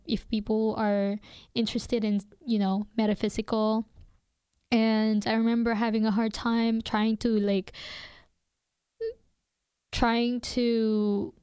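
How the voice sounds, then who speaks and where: A young woman hosts her own radio show, speaking English with a noticeable foreign accent. Her fairly high voice is smooth and clean, and she reflects aloud at an easy pace, full of fillers and restarts. The recording is dry and close, with no room sound. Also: a little mellow, no echo. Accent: non-native English accent. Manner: hesitant, many fillers and restarts. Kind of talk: reflective casual monologue.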